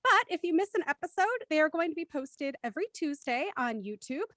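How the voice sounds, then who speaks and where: A woman's voice: high voice, expressive, a little fast, fairly bright tone, no echo, studio quality. A woman hosting a livestreamed cast chat and signing off the show, chatty and laughing between lines. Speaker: host.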